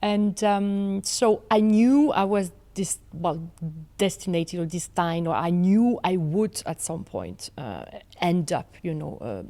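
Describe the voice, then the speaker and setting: French woman with a gravelly low voice. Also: a little mellow. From France. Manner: measured, with many fillers and restarts. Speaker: law professor, guest. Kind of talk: interview. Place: studio set with armchairs. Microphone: desk mic on boom arm.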